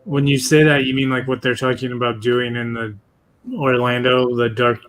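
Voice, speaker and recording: A man's voice: mid-range voice, little pitch movement, moderate pace, fairly bright tone, slight background noise, low-quality recording. Eager man hosting a live panel. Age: 30s. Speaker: podcast host. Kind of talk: casual chat.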